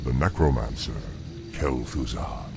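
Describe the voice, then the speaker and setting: An actor playing a calm, sly, commanding demon lord in a video game, speaking with slow, deliberate menace in a gravelly voice. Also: male voice, very deep voice, noisy background, full character voice. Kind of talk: video game villain acting. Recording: music behind the voice.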